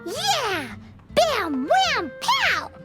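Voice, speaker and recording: Squeaky excitable little fairy companion. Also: female voice, extremely slow, loud music behind the voice, full character voice. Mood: chirpy. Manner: refers to self in third person, exclamatory. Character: small excitable floating fairy companion, childlike, nosy, cheeky. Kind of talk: animated video game voice acting.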